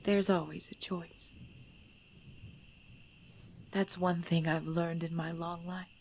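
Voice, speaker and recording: A woman playing an ancient, composed vampire in a supernatural ASMR drama, speaking in measured, formal, deliberate phrases. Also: lowish voice. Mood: calm, enticing. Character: ancient female vampire, composed, knowing, darkly playful. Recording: steady background noise with chirping crickets.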